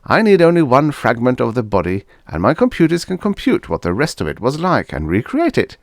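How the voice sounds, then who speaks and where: A man's voice: mid-range voice, huge pitch swings, a little fast, no echo, studio quality, voice pushed up. A man voicing a shifty older mad doctor in a science fiction audiobook, fussy, theatrical and patronizing. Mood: grandly boastful.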